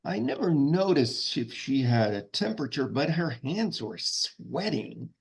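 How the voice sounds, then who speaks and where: A man reading the part of a mild, literal-minded older monk at a table read, earnest and deadpan. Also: a little high, measured pace, gravelly, slightly rough, full character voice. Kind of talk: informal script table read.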